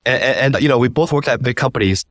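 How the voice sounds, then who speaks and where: A man's voice: medium pitch, fast talker, no echo. A man hosting a casual interview, talking in an informal, loosely phrased conversational way. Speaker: interviewer, podcast host.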